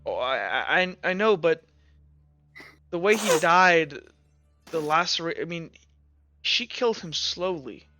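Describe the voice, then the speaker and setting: A man voicing a skeptical, methodical female commander who questions a witness in a halting, matter-of-fact way for a tabletop game. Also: on the high side, animated, bright, forceful tone, soft background music. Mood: probing.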